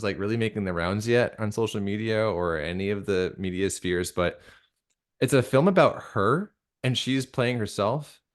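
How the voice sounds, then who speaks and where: A man with a fairly low voice talks as a guest in a casual interview. He is earnest and reflective, feeling his way through sentences with halting restarts and a conversational looseness. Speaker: guest, audio drama creator and director.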